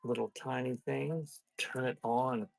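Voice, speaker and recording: A man's voice: medium pitch, fairly even intonation, takes it slowly, vocal fry, dry recording, poor audio. Rambling man chatting casually on a live stream. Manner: rambling, scattered, thinking out loud. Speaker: live-stream seller hosting a sale and unboxing.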